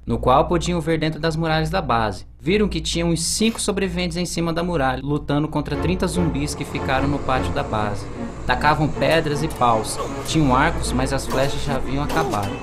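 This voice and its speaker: A man's voice: a little high, noisy background. A young man with a Brazilian accent reading scripted narration for an amateur radio drama. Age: young adult. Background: music.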